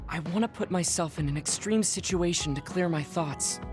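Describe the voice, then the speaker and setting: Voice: mid-range voice, close to an everyday voice. A performer in an English game dub voicing a psychic decades old in a child's body, speaking formally and precisely, proud but insecure, over music. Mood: earnest, determined. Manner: formal, precise, measured speech. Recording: music behind the voice.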